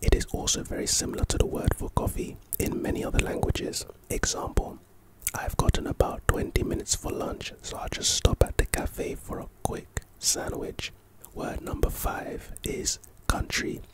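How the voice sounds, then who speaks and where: A man speaks in a hushed, unvoiced whisper throughout, like a quiet ASMR lesson. He reads words and example sentences calmly, then slips in playful jokes and teasing asides. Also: normal speed, rough recording. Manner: playful asides while reading. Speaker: ASMR host teaching vocabulary.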